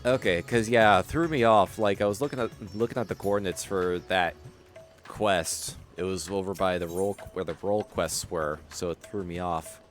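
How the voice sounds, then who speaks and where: A man's voice: low voice, moderate pace, faint music behind. A man chatting casually while streaming a game, laid-back and rambling.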